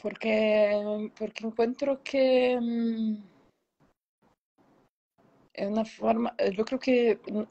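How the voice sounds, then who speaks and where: A woman's voice: on the high side, steady tone, slow, long pauses, dark tone. A woman in her thirties from Brazil speaks Spanish with a Brazilian Portuguese accent, reflective and hesitant as an interview guest searching for words, on a lo-fi video call. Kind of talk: casual, reflective interview answers. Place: indoor room, on a video call. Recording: dull, lo-fi video call sound.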